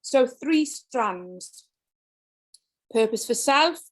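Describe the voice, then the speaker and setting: High-voiced enthusiastic woman. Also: very slow. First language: Welsh. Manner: enthusiastic, rhetorical questions, animated emphasis. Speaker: guest speaker to educators. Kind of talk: online teaching presentation. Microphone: video call.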